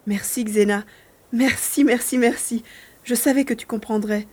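A woman's voice: a little high, fairly even intonation, normal speed, darkish tone, natural voice. A woman playing an eager, stubborn young boy in a dramatised audiobook, speaking expressively and earnestly. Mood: pleading, grateful. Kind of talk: dramatised audiobook, first-person narration and dialogue.

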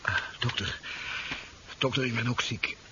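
A man playing a sick, wretched sentry in a 1970s radio drama, speaking weakly and haltingly. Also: mid-range voice, fairly even intonation, lightly acted. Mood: miserable, weak. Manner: weak, faltering, groaning. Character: sick, wretched male sentry guarding the president. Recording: dull, faintly noisy 1973 radio recording.

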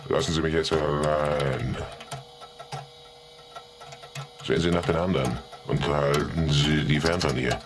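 A man's voice: a bass voice, steady tone, normal speed, music in the background. A man playing a cold, calculating, smug company man in a radio drama.

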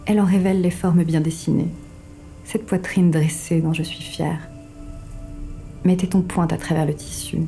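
An actress playing a mysterious, seductive virtual woman, a poised and self-assured guide with measured delivery in an audio drama. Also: lowish voice, steady tone, vocal fry, dark tone, soft background music. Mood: sensual. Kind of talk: scripted audio drama, literary narration and dialogue.

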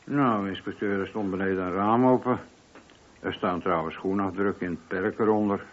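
An old, dull-sounding radio play recording of a police sergeant. His voice is deep, and he reports to his superior in a plain, matter-of-fact way. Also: steady tone, moderate pace. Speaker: radio drama actor. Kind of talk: Dutch radio play acting.

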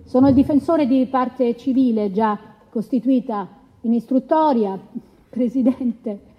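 A woman plays an assertive female lawyer with a sardonic edge. Her voice is fairly high, heard in a reverberant courtroom with a dull, lo-fi sound. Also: unhurried. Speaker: lawyer for the victim. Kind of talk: courtroom address.

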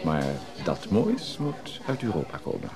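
An actor playing a prying, condescending male antique dealer in a radio drama, formal and insinuating, with hesitant pauses. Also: lowish voice, huge pitch swings, over music, heavily acted. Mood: prying, feigned politeness. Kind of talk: radio drama acting, dialogue.

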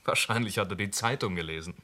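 An actor playing a matter-of-fact police inspector in a radio play. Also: male voice, low-pitched, fast, bright, forceful tone. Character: loyal male police inspector, assistant.

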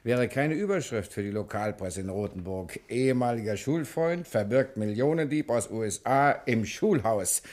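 An actor playing a breezy, self-mocking adventurer in hiding, in a German radio drama. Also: male voice, mid-range voice, expressive, moderate pace, hardly any pauses, darkish tone, close to an everyday voice. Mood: amused, mischievous. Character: jaunty adventurous fugitive hiding with old friend. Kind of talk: 1960s German radio play acting.

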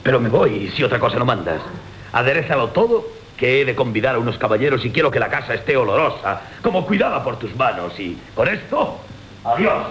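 Un actor de acento castellano interpreta a un capitán galante, orgulloso y rumboso, con tono grandilocuente de comedia clásica radiofónica. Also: male voice, sing-song, fairly quick, a bit bright, slight background noise, poor audio. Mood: brisk, commanding. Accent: Castilian Spanish. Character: gallant, free-spending captain, proud and doting lover. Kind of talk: classic Spanish comic radio theatre. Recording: rumbly 1980 radio drama recording.

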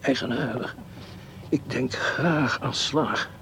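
Brooding, slow, gravelly scheming doctor. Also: male voice, medium pitch, noisy background. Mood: brooding, poetic. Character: scheming, cynical educated male doctor turned robber, musing darkly. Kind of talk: radio play acting with inner monologue.

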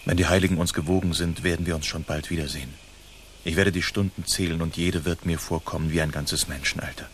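Guy acting as bold courtly outlaw hero, deep voice, formal, radio play style. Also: fairly even intonation, fast talker, slight background noise, lightly acted. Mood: tender, earnest. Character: bold, gallant masked outlaw hero of old California.

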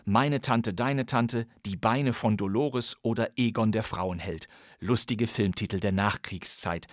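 A male podcast host speaking at a medium pitch into a close studio microphone, the sound completely dry. He introduces an archive radio play in a playful, chatty tone and clearly enjoys the old material. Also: no room sound.

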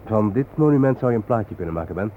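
An actor playing a solemn, reflective male guide to war memorials in a radio play, explaining things gravely. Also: on the low side, animated, quick pace, narrow, tinny audio, natural voice. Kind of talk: naturalistic radio play acting. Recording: thin, noisy old radio recording.

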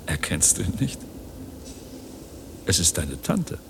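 Radio play uncle: deep, careworn, understated; faint background noise. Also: male voice, big pitch swings, takes it slowly, creaky, a bit bright, exaggerated voice. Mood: weary. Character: mild, resigned, careworn uncle.

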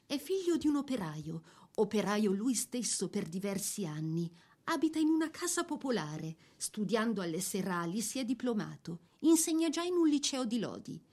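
Female narrator, medium pitch, scripted biographical storytelling, measured literary phrasing, radio drama. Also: sing-song, darkish tone.

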